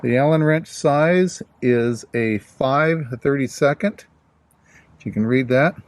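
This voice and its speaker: A man's voice: medium pitch, takes it slowly, no echo. A man casually narrating a hands-on DIY installation, explaining it plainly step by step.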